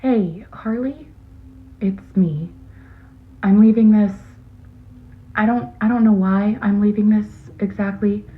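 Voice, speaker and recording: A woman's voice: mid-range voice, slow, pauses often, lightly acted. A woman playing a troubled botanist leaving a hesitant, confessional recorded message for an old friend in an audio drama. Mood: hesitant, uneasy. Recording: muffled, noisy lo-fi tape message.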